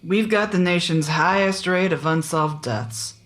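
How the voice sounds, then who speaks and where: Woman acting a gruff, low-voiced female sheriff. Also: unhurried, a bit bright. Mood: world-weary. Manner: blunt, dry, world-weary. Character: gruff, jaded small-town female sheriff. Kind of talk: audio drama acting.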